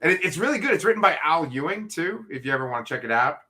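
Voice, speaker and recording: A middle-aged man co-hosting a casual live chat, excitable and swearing freely as he explains things. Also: on the high side, rapid-fire, breathy edge. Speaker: co-host.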